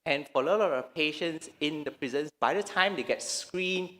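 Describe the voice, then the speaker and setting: A man's voice: on the high side, moderate pace, bright, forceful tone. A man from Malaysia giving a conference talk, with frequent restarts and hesitations. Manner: hesitant, many restarts and fillers. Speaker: conference speaker. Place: large conference hall. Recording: reverberant lecture hall.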